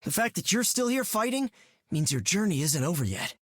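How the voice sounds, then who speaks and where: An animated, boyish young superhero. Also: male voice, high-pitched, fairly quick, no echo, clean studio sound. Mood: encouraging. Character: friendly, earnest young male wisecracking superhero. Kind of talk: video game character voice lines.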